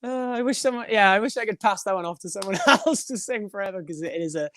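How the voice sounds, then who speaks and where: A young man with a high voice, a band singer talking in a casual podcast interview, rambling with many restarts and little laughs. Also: quick pace, bright, forceful tone, slight background noise. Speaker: guest, band frontman and vocalist.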